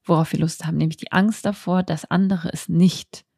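A woman around thirty with a slightly low, noticeably creaky voice and a mellow tone. She talks as a podcast host thinking out loud, candid and reflective, in an unscripted personal style. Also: steady tone, normal speed, dry recording, studio quality. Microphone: handheld microphone, close.

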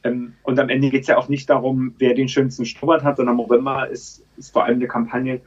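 A man's voice: medium pitch, level tone, fairly quick, a little mellow, husky, muffled recording. A man in his thirties from Berlin, a podcast guest telling his story in a chatty way with many fillers. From Berlin, Germany. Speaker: podcast guest, charity campaign manager. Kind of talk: casual conversational interview.

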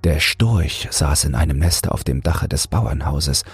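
A man's voice: extremely low pitch, animated, unhurried, breathy edge, dry recording, faint music behind. A man narrating a fairy tale as a scripted bedtime audiobook.

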